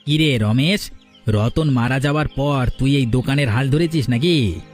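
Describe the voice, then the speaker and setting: A man's voice: medium pitch, moderate pace, faint music behind, lightly acted. A man playing a vengeful, sneering village villain in a cartoon horror story, taunting as he speaks. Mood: sly, taunting.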